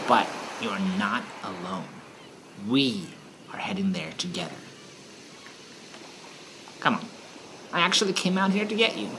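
A woman playing a seasoned, playful gnome adventurer and knowing mentor in a fantasy audio drama. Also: a bass voice. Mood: reassuring. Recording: noticeable ambient background noise.

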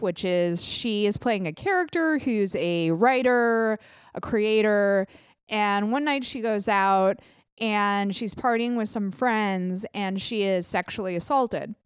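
A young adult woman hosting her own podcast, chatting casually with quick self-deprecating asides, sounding a little congested. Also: mid-range voice, clear, resonant tone, no echo, clean studio sound. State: congested, clogged ear. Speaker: podcast host. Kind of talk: casual solo podcast chat.

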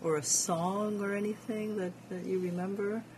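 A woman interviewing a guest, gently prompting with questions and helping her find words. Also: medium pitch, steady tone, normal speed, slight background noise. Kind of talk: interview conversation.

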